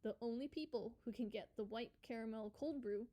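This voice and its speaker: An earnest, inquisitive female podcast reporter, played by a woman in a radio play. Her voice sits a little high as she questions and narrates. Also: a little fast, warm tone, natural voice. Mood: intrigued, narrating.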